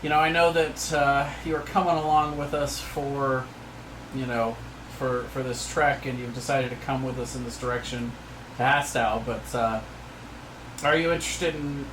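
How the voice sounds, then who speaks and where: A man's voice: medium pitch, fairly even intonation, moderate pace. A man playing a tabletop game, talking things over casually and carefully, often repeating the start of a phrase. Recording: roomy echo, background noise.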